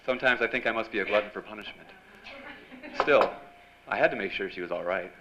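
An actor playing an earnest, dogged newspaper reporter in an old-time radio drama. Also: male voice, on the low side, quick pace, natural voice. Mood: narrating. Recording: dull, noisy live stage recording.

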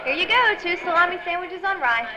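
An actress playing an eager, sweet waitress who hopes to act, perky in old-time radio drama style. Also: female voice, very high-pitched, expressive, brisk, over loud music. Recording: dull live stage recording, faint noise.

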